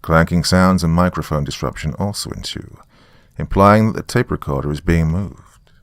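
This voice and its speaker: A man reading scripted horror narration in a measured, descriptive way. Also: low voice, dry recording. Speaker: story narrator.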